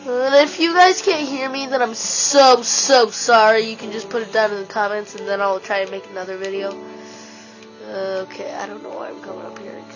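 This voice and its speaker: A woman with a stuffy nose gives casual, rambling commentary while she plays a video game, excitedly talking to herself. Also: medium pitch, moderate pace. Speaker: let's play gamer. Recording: dull lo-fi with game music.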